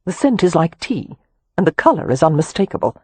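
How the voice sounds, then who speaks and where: A narrator voicing a prim, stern young governess who talks gruffly. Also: medium pitch, big pitch swings, brisk. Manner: gruff, clipped. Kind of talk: audiobook character voice.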